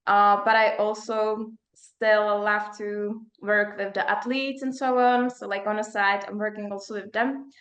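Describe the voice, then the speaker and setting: A woman's voice: fairly high pitch, a bit bright, roomy sound. A young woman from the Czech Republic with a Czech accent, talking casually and discursively as a coach being interviewed. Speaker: performance coach and psychologist.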